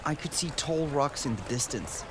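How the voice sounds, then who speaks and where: A man playing an earnest, wonder-struck boy in a radio drama, speaking gently and descriptively. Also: mid-range voice, expressive, moderate pace, natural voice. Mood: hushed wonder. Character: earnest, wonder-struck boy recording a journey for his sister. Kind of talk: radio drama narration and dialogue. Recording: noisy outdoor ambience behind the voice.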